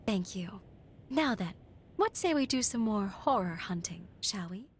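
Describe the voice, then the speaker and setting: An actress playing an eccentric, eager young female scientist in a video game, with a playful, theatrical and expressive delivery, in anime-style acting. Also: mid-range voice, a little slow, breathy edge. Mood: playful, intrigued. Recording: voice over background game music.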